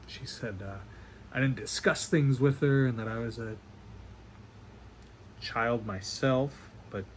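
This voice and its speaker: A man playing a doting middle-aged father in a stage monologue over a video call, earnest and halting, stammering as he rambles to his daughter. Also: a little high. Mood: defensive, hurt. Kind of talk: one-act play monologue performed on video call. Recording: noisy video call audio.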